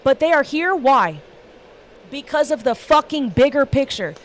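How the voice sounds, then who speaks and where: A woman's voice: high-pitched, lively intonation, a little slow, a little hiss or hum. A woman hosting a livestream panel, talking bluntly and emphatically and swearing constantly in casual rants. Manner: blunt, heavily profane, emphatic.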